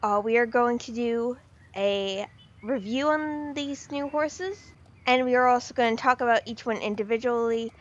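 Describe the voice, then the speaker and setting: A woman giving casual, excitable game commentary, rambling with fillers, her voice slightly off from the weather. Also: on the high side, normal speed, lo-fi sound. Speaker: game video creator.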